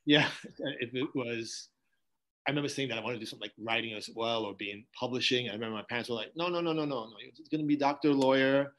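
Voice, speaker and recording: A male podcast host asks his questions in a curious, rambling way. He talks quickly in a mid-range voice. His tone stays casual and conversational throughout. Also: mid-range voice, a bit bright, poor audio. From Haitian family background.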